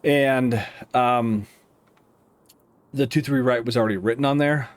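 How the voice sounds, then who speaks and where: A man with an American accent giving casual, explanatory commentary over a simulator game, thinking aloud in a halting, rambling way. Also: medium pitch, fairly even intonation. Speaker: air traffic controller streaming a simulator game.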